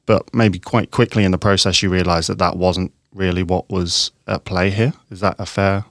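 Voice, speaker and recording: Low-voiced man, thoughtful podcast host. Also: little pitch movement, no room sound, studio quality. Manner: thoughtful, measured, rambling questions. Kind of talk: podcast interview.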